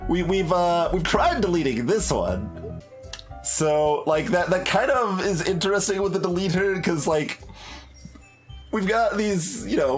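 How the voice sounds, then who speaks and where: Slow, hesitant gamer man musing aloud. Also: on the high side, low-quality recording. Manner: musing, hesitant, many fillers and restarts. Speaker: gaming YouTuber. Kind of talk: live commentary on a game. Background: soft game music.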